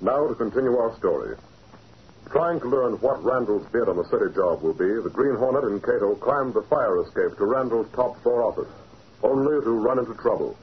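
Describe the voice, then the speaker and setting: Actor as dramatic old-time radio serial narrator. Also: male voice, medium pitch, lively intonation, fairly quick, close to an everyday voice. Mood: dramatic, suspenseful. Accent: American. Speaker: announcer. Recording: muffled vintage radio, clear hiss.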